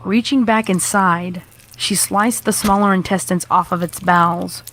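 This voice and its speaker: A woman's voice: lowish voice, fairly even intonation, unhurried, fairly bright tone, a little hiss or hum. A woman reading a scripted horror story as its narrator.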